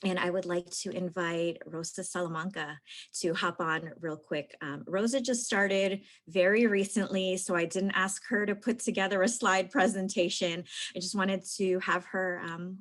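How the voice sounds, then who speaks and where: A woman hosting a virtual alumni event, introducing speakers in a quick, informal way. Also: mid-range voice, a bit bright. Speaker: event host.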